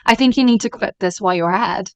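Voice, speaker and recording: A woman playing a haughty, commanding female figure at a school in an amateur animated drama. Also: medium pitch, fast, vocal fry, muffled recording, close to an everyday voice. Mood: scornful. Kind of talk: scripted drama acting.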